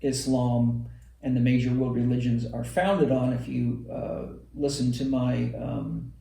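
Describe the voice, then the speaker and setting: A man's voice: medium pitch, steady tone, unhurried, gravelly, dark tone, a little hiss or hum. A man hosting a podcast, answering a listener's question in a thoughtful, explaining way. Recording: roomy, from a distant mic.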